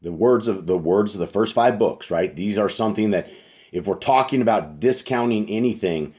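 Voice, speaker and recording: A man with a hoarse, raspy voice, giving an earnest, informal scripture teaching and clearing his throat now and then. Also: lowish voice, moderate pace, darkish tone. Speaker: Bible teacher.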